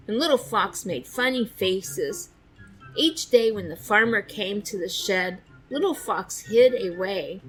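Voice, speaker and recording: A woman narrating a children's storybook with expressive, deliberate phrasing. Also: medium pitch, rough recording.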